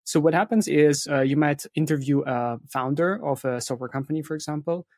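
A man's voice: fairly high pitch, brisk, a little mellow, dry recording, studio quality. A man speaking as an interview guest, explaining things conversationally and often checking in with short tag questions. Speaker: interview guest, content marketing firm founder.

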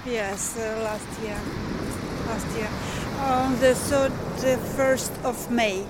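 A middle-aged woman from Ukraine speaking halting, broken English with a Ukrainian accent, stopped in the street outdoors. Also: a little high, fairly even intonation. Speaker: passer-by. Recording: loud outdoor street noise.

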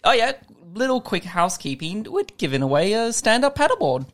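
A man co-hosting a podcast, playful and quick to laugh. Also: sits high, sing-song. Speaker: podcast co-host. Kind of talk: casual chat.

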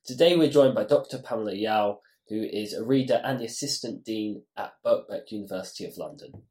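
A man's voice: lowish voice, brisk, darkish tone. Man hosting a podcast. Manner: brief scripted questions. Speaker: podcast host. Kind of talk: podcast interviewing.

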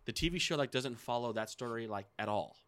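A man's voice: medium pitch, fast talker, fairly bright tone, clean tone, no echo. A man talking informally as a podcast co-host in a casual, conversational chat.

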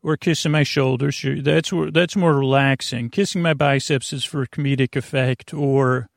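A man hosting a bedtime podcast in a creaky voice, meandering and halting through soothing, rambling tangents. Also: medium pitch, fairly even intonation, dry recording, clean studio sound. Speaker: podcast host.

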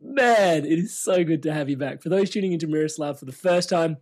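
A man's voice: fairly high pitch, fairly quick. Effusive male podcast host. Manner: warm, effusive, rambling. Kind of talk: podcast interview.

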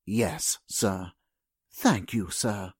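An actor playing a meek, formal and very polite household butler in a 1940s style radio drama. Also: male voice, sits low, very slow, husky, no echo, clean studio sound, full character voice. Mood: meek, pleading. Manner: servile, polite, hesitant.

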